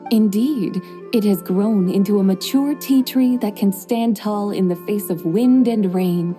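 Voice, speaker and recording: A woman voicing a composed, gently teasing young woman with a secret in a game cutscene. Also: medium pitch, a little slow, close to an everyday voice. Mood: serene. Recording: soft game music underneath.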